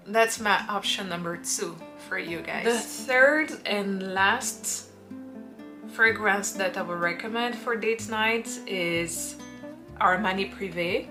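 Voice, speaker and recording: Unhurried woman over background music. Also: mid-range voice. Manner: unhurried, drawn-out phrasing with playful acted quotes. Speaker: perfume reviewer. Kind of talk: casual perfume recommendation vlog. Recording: music playing underneath.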